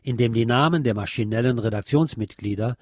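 Male narrator, medium pitch, measured formal delivery, scripted feature essay, very dry close sound. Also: darkish tone, no room sound.

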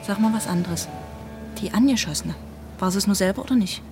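An actress playing a cheeky, no-nonsense woman working on a hospital ward in a radio drama, brisk and colloquial. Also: medium pitch, darkish tone, faint music behind, close to an everyday voice. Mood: cheeky, curious. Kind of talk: German radio drama acting.

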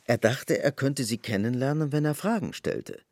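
A man's voice: medium pitch, moderate pace, a little mellow, no room sound. A man reading scripted literary narration as the narrator of an audio drama.

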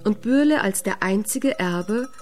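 Woman storyteller, slow and measured, mid pitch, fairy tale narration, faint music behind. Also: animated.

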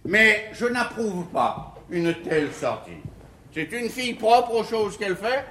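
An actor playing a henpecked, good-natured, food-loving bourgeois husband with theatrical bluster in a classical French verse comedy. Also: male voice, high-pitched, lively intonation, a little slow, pushed and bright, exaggerated voice. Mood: reluctant. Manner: theatrical, drawling, blustering then meek. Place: theatre stage. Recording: roomy 1950s theatre stage recording.

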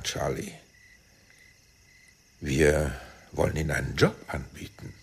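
A man acting a shrewd, persuasive intelligence team leader in a German radio play, speaking deliberately and insistently. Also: very deep voice, slightly rough, heavily acted.

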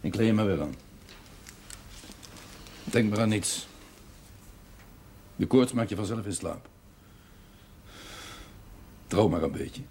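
Jaded country doctor, low voice, clipped n grumbly, old tv drama, bit of noise. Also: male voice, slow pace, pauses often, darkish tone. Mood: dry, soothing. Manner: disdainful grumbling, clipped phrases. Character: cynical, educated male country doctor, an outsider. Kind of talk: television drama acting.

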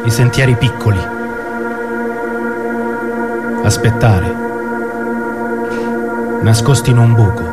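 A man's voice: lowish voice, slow pace, pauses often, loud music behind the voice. A man performing a dramatic spoken-word recitation in short, separated phrases.

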